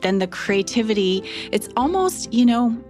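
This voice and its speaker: A woman's voice: a little high, very animated, moderate pace, darkish tone. A woman explaining her creative process as a writer in an interview, earnest, with emphatic repetition. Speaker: audio drama writer. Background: faint music.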